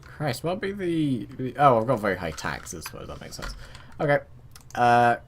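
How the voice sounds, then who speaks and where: A man's voice: medium pitch, big pitch swings, normal speed, a little hiss or hum. A man giving casual let's play commentary, rambling and muttering to himself as he plays, joking at his own expense. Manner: self-mocking, chatty.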